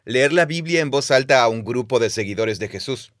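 Guy cohosting a bible podcast, thoughtful storyteller, dry close mic, mid pitch. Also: animated, dry recording. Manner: thoughtful, measured, with filler "eh". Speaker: podcast co-host, Bible teacher. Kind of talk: casual conversation, storytelling.

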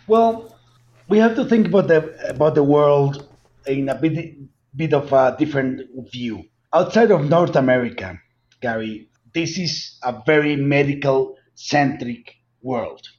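A man's voice: medium pitch, measured pace, a little mellow, lo-fi sound. A man from Colombia with a Colombian Spanish accent, answering interview questions earnestly and emphatically, often repeating words. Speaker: guest, cannabis company founder.

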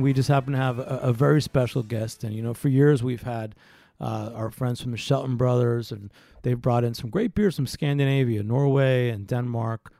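A man's voice: mid-range voice, creaky, a little mellow. A man hosting a beer talk radio show, loose and playful, often stopping to restart his sentences.

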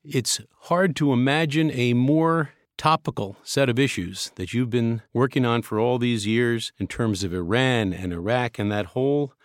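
A man hosting an interview podcast, speaking in a measured, formal way as he introduces his guest. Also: medium pitch, no echo, clean studio sound. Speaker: podcast host.

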